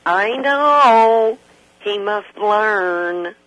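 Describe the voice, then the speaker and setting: A woman's voice: mid-range voice, animated, extremely slow, fairly bright tone, no echo, poor audio. An older woman calling in to a radio chat show, laughing often as she tells her stories. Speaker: caller.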